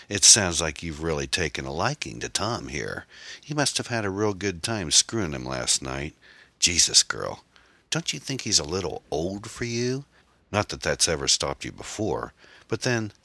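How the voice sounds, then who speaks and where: A very deep, forceful male villain acted by an audiobook narrator, jealous and sneering. He drawls out taunts and threats in a folksy, foul-mouthed manner, recorded close and dry. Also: big pitch swings, normal speed, creaky, no room sound, full character voice. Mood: taunting.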